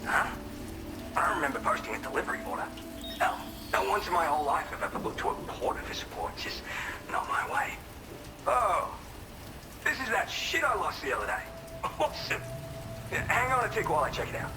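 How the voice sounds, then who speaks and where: A slangy, excitable young loner in a game. His highish voice swoops up and down. The room sounds echoey. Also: brisk, long pauses, over music. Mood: surprised, excited. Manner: casual, gushing, slangy. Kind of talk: video game cutscene acting.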